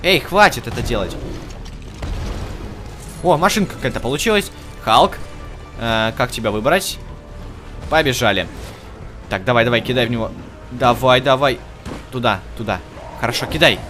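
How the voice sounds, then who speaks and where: A man doing casual, slangy let's-play commentary, excitedly urging the game's characters on as he plays. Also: mid-range voice, normal speed, long pauses. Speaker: gaming channel host. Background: game music and effects.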